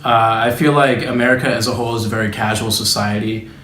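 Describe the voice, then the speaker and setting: Young man, low voice, monotone delivery, casual hedging answers, roomy echo. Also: fast, slight background noise. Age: young adult. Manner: relaxed, hedging, many fillers and restarts. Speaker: student interviewee. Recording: echoey room.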